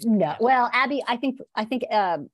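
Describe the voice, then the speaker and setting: A middle-aged woman explaining her work conversationally as an interview guest, informal and a little halting. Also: fairly high pitch, animated, dry recording, clean studio sound. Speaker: interview guest, documentary filmmaker. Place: plain room at home, indoors. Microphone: wired earbuds on video call.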